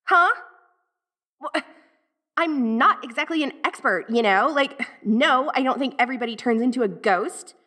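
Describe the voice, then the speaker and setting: A woman's voice: high voice, animated, normal speed, sharp tone, full character voice. A woman playing a bratty teenage ghost from the eighties in an audio roleplay, with an American Valley girl accent and a sassy, dramatic, easily flustered manner. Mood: defensive, sassy. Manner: sassy, dramatic, flustered, peppered with 80s slang. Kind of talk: audio roleplay, one-sided dialogue to the listener.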